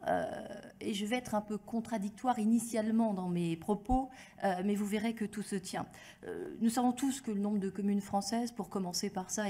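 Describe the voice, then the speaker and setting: A woman speaking as an expert witness at a hearing, in a formal, measured and articulate way as she builds an argument. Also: medium pitch, dark tone. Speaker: academic expert giving testimony at a hearing. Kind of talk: formal prepared address with spontaneous phrasing.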